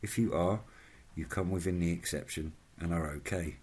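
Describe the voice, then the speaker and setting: A man with a low, husky voice narrates from a script. His delivery is formal and measured, like that of a presenter setting out information point by point for his channel. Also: a little mellow. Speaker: channel host.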